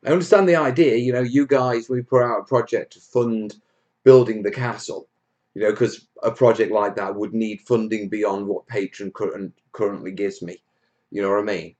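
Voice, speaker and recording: A man's voice: fairly low pitch, fairly even intonation, moderate pace, a little mellow, muffled recording. A man from Wales hosting a casual live Q&A stream, chatting to viewers in a blunt, jokey, matey way. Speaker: host of a hobby livestream.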